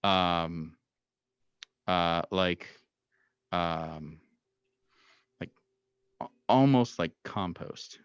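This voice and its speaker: A low-pitched man acts as game master for a tabletop horror session, describing scenes in halting, tentative phrases. The recording is dry and somewhat dull and lo-fi. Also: clean tone, no room sound.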